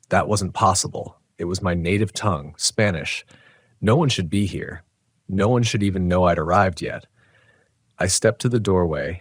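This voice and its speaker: A man reading a scripted first-person horror story in a measured, dramatic way. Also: deep voice, no room sound. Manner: measured, dramatic, literary delivery.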